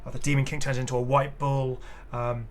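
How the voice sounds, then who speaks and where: A man hosting a casual game showcase, talking in an enthusiastic, rambling way with halting explanations. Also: medium pitch, flat delivery, a little hiss or hum. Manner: enthusiastic, effusive. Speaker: gaming video creator and host. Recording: music playing behind the voice. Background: clear background music.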